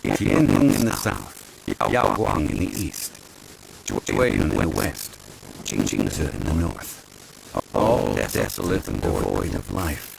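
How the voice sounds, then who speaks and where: A composed scholar from a video game, with a very low voice full of heavy creak. He recounts ancient legends formally and deliberately, weighing each phrase. Loud noise sits behind the recording. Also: very animated, full character voice. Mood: solemn, reciting. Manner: measured, formal, deliberate storytelling. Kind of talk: video game dialogue, lore narration.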